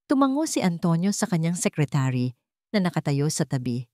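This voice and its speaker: Lowish lady voice telling a dramatic story, scripted narration, very dry sound. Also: very animated, brisk, a little mellow, no room sound.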